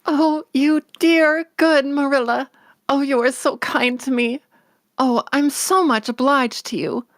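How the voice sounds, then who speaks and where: Lady doing a gushy dreamy little orphan girl for a storybook, high voice, really dry sound. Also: fairly even intonation, unhurried, no echo. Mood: grateful. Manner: breathless, gushing, dramatic. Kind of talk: acted audiobook read-along.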